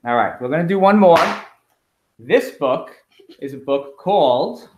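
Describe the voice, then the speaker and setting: A man reading a picture book aloud to children in an expressive, emphatic storytelling way, as a school principal at home. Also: fairly high pitch, measured pace, background noise. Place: at home, indoors. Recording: roomy, echoey home recording.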